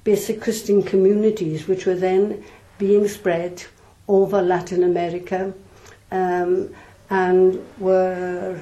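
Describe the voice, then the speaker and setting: Older nun, low mellow voice. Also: female voice, flat delivery, rough recording. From South Wales. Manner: measured, thoughtful, with frequent pauses and ums. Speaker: nun, interview guest. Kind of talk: reflective interview answers.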